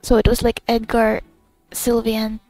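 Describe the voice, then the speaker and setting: A young woman reacting casually to a game, rambling and expressive in a bewildered way. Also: fairly high pitch, a little slow, no room sound, soft background music, low-quality recording. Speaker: gaming streamer. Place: game commentary with game music behind.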